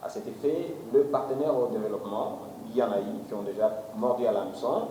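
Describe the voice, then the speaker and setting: Male talk-show host, formal and measured, echoey and off-mic. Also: lowish voice, warm tone, slight background noise. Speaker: TV interview host. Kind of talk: formal broadcast interview. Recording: echoey room, mic at a distance.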